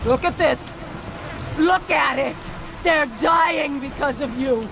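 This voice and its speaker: A woman's voice: high voice, takes it slowly, vocal fry, full character voice, poor audio. An actress playing a vengeful, scornful goddess queen in a video game cutscene, with a haughty, accusing, dramatic delivery. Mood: bitter, accusing. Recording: loud music behind the voice.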